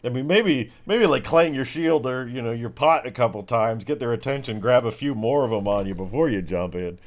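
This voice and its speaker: A man's voice: medium pitch, lively intonation, brisk. A man acting as game master, improvising descriptive narration of a tabletop game mixed with informal, sweary chat.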